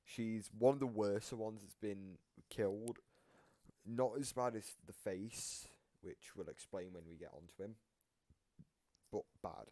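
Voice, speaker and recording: A man giving casual, analytical reaction commentary, explaining things in a halting, rambling way that circles back on repeated phrases. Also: fairly low pitch, dark tone, dry recording. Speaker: reactor explaining game voice lines.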